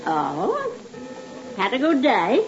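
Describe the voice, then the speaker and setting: An actress in an old-time radio drama playing a chatty, familiar bartender with a teasing manner. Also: female voice, sits high, huge pitch swings, slow, exaggerated voice. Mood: chatty, sympathetic. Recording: muffled old radio recording, music behind.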